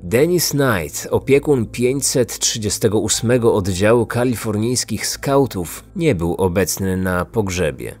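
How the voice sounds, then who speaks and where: A man's voice: fairly low pitch, animated, a little slow, hardly any pauses, darkish tone, husky. A man narrating a scripted true-crime podcast as its host, in documentary style. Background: music.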